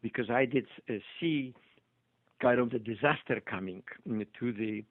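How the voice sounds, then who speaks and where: A man's voice: mid-range voice, normal speed, dry recording. An older man with a Polish accent, a doctor being interviewed, telling his story with many hesitations and restarts. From Poland. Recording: tinny phone-line audio, dry.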